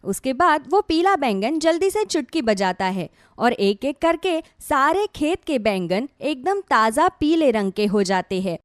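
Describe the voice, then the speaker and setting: A woman storyteller with a high, very lively voice, reading a scripted dramatic tale. The sound is dry and close, with pitch that moves freely through each sentence. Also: unhurried, hardly any pauses, dry recording. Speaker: storyteller narrator.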